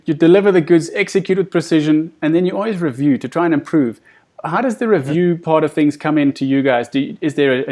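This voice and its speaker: A male interview host with a fairly high voice. He talks conversationally, setting up wordy questions in an encouraging way. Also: darkish tone.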